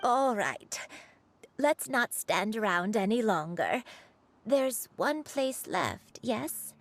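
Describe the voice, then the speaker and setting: A woman voicing a gentle, frail and forgetful grandmother in a video game, speaking softly and hesitantly. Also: on the high side, sing-song, dry recording, heavily acted. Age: older. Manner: soft, slow, hesitant. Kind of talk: video game cutscene dialogue. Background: game music.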